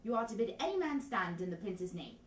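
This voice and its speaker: A woman's voice: medium pitch, big pitch swings, fairly quick, a little hiss or hum, natural voice. Casting: woman as pompous, self-important stage constable with a comic put-on accent, declamatory, recorded at a distance in an echoey room. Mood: pompous, emphatic. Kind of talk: Shakespearean comedy, theatre audition reading.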